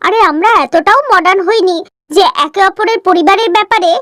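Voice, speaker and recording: A woman's voice: extremely high pitch, fairly quick, a bit bright, no echo, clean studio sound. A woman voicing a snobbish, scolding middle-aged mother-in-law in a cartoon. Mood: chatty. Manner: haughty, scolding.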